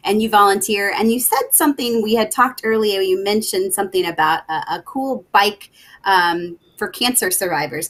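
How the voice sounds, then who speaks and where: A woman's voice: mid-range voice, fairly bright tone. A woman hosting a live webcast over a video call, interviewing her guest in an upbeat, enthusiastic way. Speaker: host, NASA education specialist.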